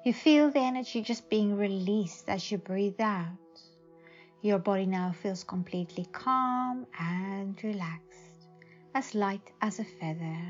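A woman narrating a guided meditation in a soothing, measured way with long pauses. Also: medium pitch, dull audio. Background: faint music.

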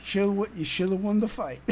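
A podcast host chatting casually in a halting way, often stammering and repeating words. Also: mid-range voice. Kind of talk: casual chat. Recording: clear background noise.

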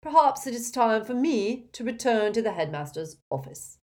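A woman's voice: fairly high pitch, big pitch swings, moderate pace, darkish tone, voice pushed up. A woman voicing a pompous, indignant old male wizard in a talking portrait, in an audiobook. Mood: scornful, weary. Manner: haughty, supercilious, scornful. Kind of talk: audiobook character acting.

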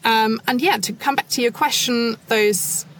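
A woman consultant speaking as a podcast guest, measured and thoughtful, with frequent soft fillers. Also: fairly high pitch, slight background noise, poor audio. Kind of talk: podcast interview.

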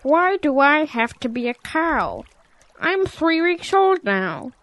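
A woman's voice: sits high, big pitch swings, slow, fairly bright tone, heavily acted. A woman voicing a naive, eager young boy calf in an audio drama, childlike and pleading. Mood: curious, amazed.

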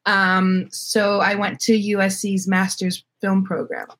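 A woman's voice: mid-range voice, little pitch movement, a little slow, a bit bright. A young adult woman from Florida with an American accent, talking casually and candidly as an interview guest. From Florida, United States. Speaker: guest, filmmaker.

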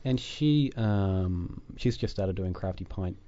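A man's voice: deep voice, expressive, slow, warm tone, lo-fi sound. A man with a New Zealand accent hosting a podcast, chatting casually with many fillers and restarts. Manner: chatty, self-deprecating, lots of fillers and restarts. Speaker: podcast host. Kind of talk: casual chat. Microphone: desk microphone.

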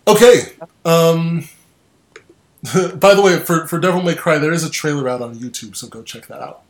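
A man in his twenties hosting a casual gaming podcast, chatty and quick to laugh, trailing off with ums. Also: fairly high pitch. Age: 20s. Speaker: podcast host.

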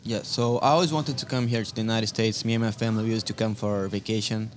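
A man in his twenties from Brazil, speaking English with a Brazilian accent as a non-native speaker, answering interview questions in a friendly, halting way. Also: fairly low pitch, steady tone, slight background noise. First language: Portuguese. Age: 20s. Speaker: guest soccer player. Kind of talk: casual interview. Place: brewery.

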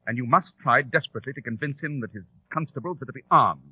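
A self-assured detective acted by a man speaks briskly and precisely, never rattled. The voice is husky and lively in pitch, on a muffled, narrow vintage radio recording. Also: no echo, natural voice. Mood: brisk, resolute. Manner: crisp, precise, formal. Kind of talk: old-time radio drama acting.

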